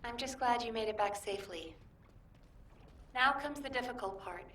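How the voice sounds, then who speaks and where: An actress playing an earnest, alert young mission coordinator in a sci-fi game. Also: female voice, on the high side, moderate pace, sharp tone. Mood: relieved. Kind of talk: scripted game voice acting. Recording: echoey, distant, faintly noisy.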